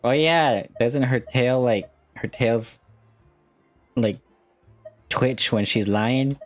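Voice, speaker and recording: A woman's voice: extremely low pitch, drawn-out words, soft background music. A woman hosting a gaming video, giving casual, chatty live reactions to the game in brief interjections.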